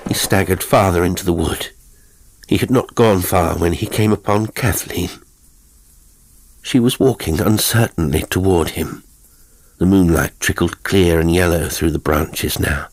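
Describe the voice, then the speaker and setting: A man reading a horror audiobook as narrator, with measured, suspenseful and deliberate delivery. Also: low voice, slightly rough, no echo.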